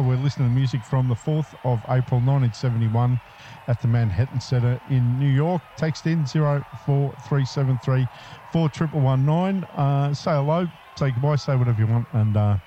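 Brief: easygoing man hosting community radio, very dark mellow voice, dull lo-fi broadcast sound. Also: mid-range voice, a little hiss or hum. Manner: easygoing, frequent uh and um fillers. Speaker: radio host. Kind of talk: casual radio DJ patter.

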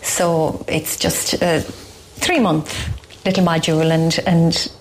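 A woman's voice: low voice, steady tone, slow pace, a little hiss or hum, poor audio. An Irish woman, a teacher and singer, chatting as a guest in a radio interview, rambling and laughing easily. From Ireland.

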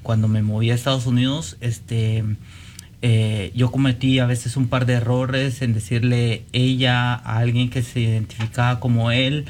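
A man from Guatemala with a Guatemalan Spanish accent, speaking as a radio guest, hesitant and filling pauses with "eh" and "este". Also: medium pitch, flat delivery, a little hiss or hum. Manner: hesitant, many "eh" and "este" fillers.

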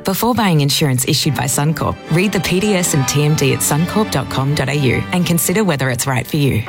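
A woman voicing a radio advertisement in a steady, reassuring manner. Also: low voice, fast talker, vocal fry. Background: loud music bed.

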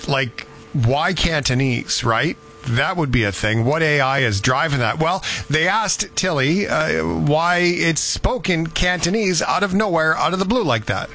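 A man's voice: fairly high pitch, expressive, a little slow, pushed and bright, soft background music. A man hosting a show, telling news stories in a casual, conversational way with incredulous rhetorical questions. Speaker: radio show host.

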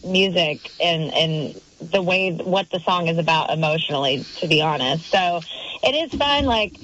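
A woman's voice: fairly low pitch, animated, moderate pace, a bit bright, a little hiss or hum. A female singer calling in by phone, chatty and upbeat, laughing easily. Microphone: phone line. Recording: dull phone line.

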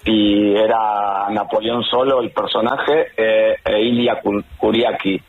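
A man's voice: on the low side, flat delivery, takes it slowly. A man from Argentina with an Argentine accent, calling in to a radio show by phone and chatting casually. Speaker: phone-in caller. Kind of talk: casual chat. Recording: thin, tinny phone line.

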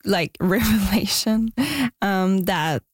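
A woman, answering interview questions as a musician in a soft, hesitant way, with lots of fillers and restarts. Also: mid-range voice, takes it slowly, dry recording, studio quality. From Quebec, Canada. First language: French and Spanish. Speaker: musician guest.

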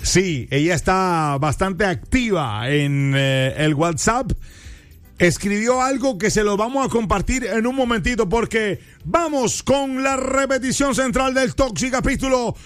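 High-pitched hyped radio host. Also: male voice, animated, measured pace, a bit bright, dry recording, faint music behind. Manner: playful, hyped, teasing. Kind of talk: morning radio banter.

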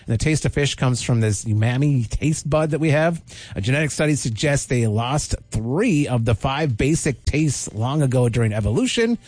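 A man hosts a morning radio show with a husky voice that swings up and down in a lively way. His delivery is casual and teasing. The studio sound is dry, with faint music underneath. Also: mid-range voice, no echo. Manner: teasing, playful. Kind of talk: casual on-air banter.

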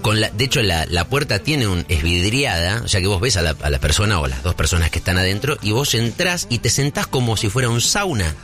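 Deep-voiced Buenos Aires man with lively intonation. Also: fairly quick, hardly any pauses, music in the background. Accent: Rioplatense Spanish, Buenos Aires. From Buenos Aires, Argentina. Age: 40s. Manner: rambling, conversational, seeks agreement with tag questions. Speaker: host delivering a comic monologue. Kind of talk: casual comic monologue, thinking out loud.

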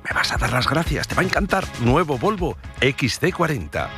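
A man's voice: mid-range voice, sing-song, moderate pace. A man with a Spanish accent from Spain doing a chatty radio sponsor read, persuasive and talking straight to the listener. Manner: conversational, persuasive, addressing the listener directly. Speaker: radio advertisement reader. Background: music.

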